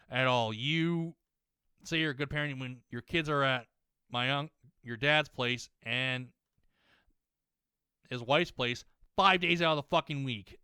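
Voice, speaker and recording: A young man ranting while playing a game, rambling, swearing a lot and often restarting his sentences, his voice slightly hoarse as it warms up. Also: medium pitch, dry recording, clean studio sound. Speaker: gaming YouTuber.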